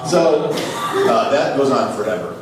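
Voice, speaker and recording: A man hosting a live comedy show, reading aloud for laughs with theatrical, drawn-out emphasis. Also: mid-range voice, sing-song. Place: small theater stage. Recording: echoey, noisy live recording from far off.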